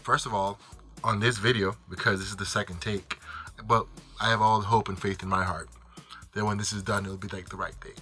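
Bright-voiced man, blunt casual talk. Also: lowish voice, little pitch movement, fairly quick, soft background music. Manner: conversational, frequent "like" and "right?", blunt and profane. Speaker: author guest. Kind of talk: casual answers to interview questions.

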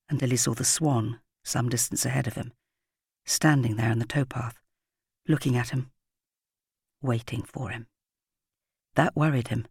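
Expressive woman narrator with a husky edge. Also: deep voice, dry recording, studio quality. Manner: dramatic, suspenseful storytelling with varied emphasis. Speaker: audiobook narrator. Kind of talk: expressive scripted children's story narration.

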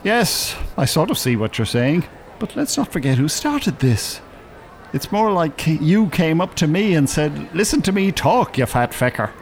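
A man's voice: a little high, big pitch swings, measured pace. An actor playing a bookish, irritable older man in a radio drama, articulate, polite and drily sarcastic. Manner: polite, dry, exasperated, articulate. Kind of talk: naturalistic radio drama acting. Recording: urban ambience, faint background noise. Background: shopping centre ambience.

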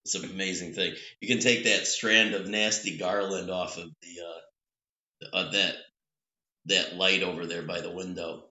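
Guy rambling on a home podcast, low-ish but really bright voice, echoey room. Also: level tone, measured pace. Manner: rambling, self-interrupting, playful. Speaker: podcast co-host. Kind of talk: casual chat. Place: three-season back patio room at home. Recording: echoey room, dull lo-fi sound.

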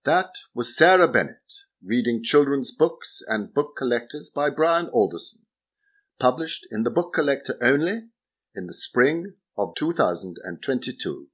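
Man, mid-pitched, very varied intonation, scripted podcast announcer. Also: sing-song, moderate pace, fairly bright tone.

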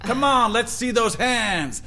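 A man's voice: very high-pitched, expressive, takes it slowly, over music, exaggerated voice. An actor playing a vain, pushy male celebrity emcee in a satirical audio drama, cocky and teasing. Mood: hyped. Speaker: party emcee.

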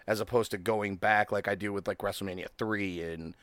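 Thirties guy on a podcast, kinda low voice, super dry close mic, swears a lot, laid back. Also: steady tone, brisk, a bit bright, no room sound. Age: 30s. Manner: laid-back, swears freely, laughs often. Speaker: podcast host. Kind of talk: casual chat.

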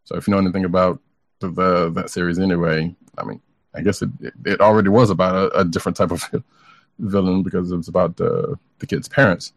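A man's voice: sits low, fairly even intonation, fast, gravelly, no echo. A man co-hosting a podcast, chatting casually about entertainment news in a tentative, hedging way. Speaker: podcast co-host. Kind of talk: casual comic book news chat.

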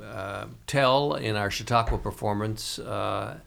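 A man hosting a casual interview, speaking in halting, hesitant phrases. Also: fairly low pitch, creaky, a bit bright. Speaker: podcast host.

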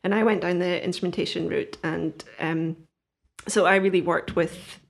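Scottish woman with a gravelly low voice. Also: steady tone, normal speed. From Scotland. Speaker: guest astronomer. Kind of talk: casual interview chat.

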